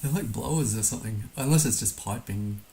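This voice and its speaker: A hoarse man musing hesitantly aloud as he gives casual live commentary on a game he is streaming. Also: on the low side, brisk, dark tone. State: hoarse, recovering from being under the weather. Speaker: livestream host playing a geography guessing game. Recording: noticeable background hiss.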